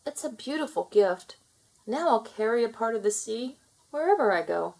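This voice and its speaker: Lady doing a sweet eager mermaid princess for a storybook, young woman, earnest and super lively. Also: on the high side, normal speed, gravelly, pitched above the performer's own voice, low-quality recording. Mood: delighted.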